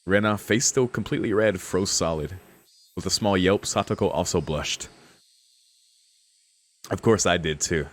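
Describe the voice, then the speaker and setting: A man's voice: low voice, normal speed, long pauses. A man streaming a game, reading its text aloud and reacting casually with slangy exclamations and swearing. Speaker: gaming streamer reading a visual novel aloud.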